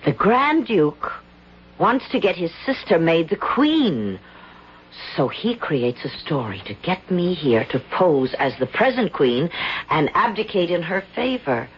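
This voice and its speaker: An actress in a 1970s radio drama playing a young American actress caught up in a royal impersonation, talking briskly and asking pointed questions. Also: female voice, on the low side, sing-song, slight background noise. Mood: puzzled. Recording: dull 1970s radio broadcast.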